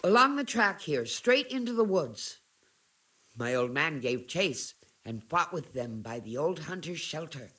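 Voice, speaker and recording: Earthy, distraught medieval peasant wife. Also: female voice, sits low, very animated, unhurried, fairly bright tone, heavily acted. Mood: bitter, distressed. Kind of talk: video game voice acting.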